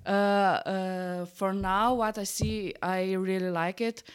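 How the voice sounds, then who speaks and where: A woman with a Slovenian accent, a teacher giving unscripted interview answers in halting, simple English. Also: medium pitch, little pitch movement, smooth.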